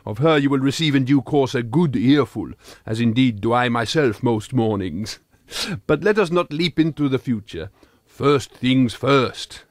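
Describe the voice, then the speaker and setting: A man's voice: medium pitch, no room sound, clean studio sound, natural voice. A man voicing an earnest, pompous thirty-eight-year-old Frenchman with a French accent, reading a comic audiobook diary in formal, quaintly wordy phrases.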